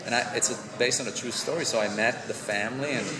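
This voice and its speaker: A deep-voiced man in his forties talks easily and conversationally, restarting sentences as he goes. He is captured from across a big, reverberant convention room. A loud crowd murmurs all around him. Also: fast talker. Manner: relaxed, chatty, many restarts and fillers, gestures while talking. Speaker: actor being interviewed. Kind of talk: casual press interview. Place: large convention press room. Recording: echoey hall with loud crowd. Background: loud crowd chatter.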